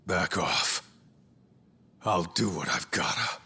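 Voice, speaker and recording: An actor playing a gruff, proud, stubborn yakuza tough guy, blunt and defiant, in an English game dub. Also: deep voice, animated, slow pace, bright, forceful tone, exaggerated voice.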